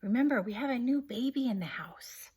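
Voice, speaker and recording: A woman voicing a gentle mother in a storybook, speaking to her small boy in a kindly, coaxing way. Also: on the high side, a little fast, breathy edge. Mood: hushed.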